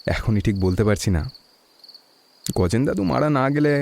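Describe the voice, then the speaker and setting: A calm, inquisitive male detective, played by an actor in an audio drama. His voice is dark and mellow at a middling pitch, measured in delivery, over noisy chirping insects. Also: vocal fry. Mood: calm, probing. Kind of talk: Bengali audio story acting.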